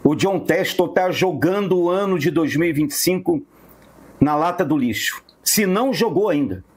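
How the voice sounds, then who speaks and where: Man, Brazilian accent, very animated intonation, husky rasp, indignant fan commentary, repetitive emphasis. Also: on the high side, normal speed. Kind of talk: fan rant to camera.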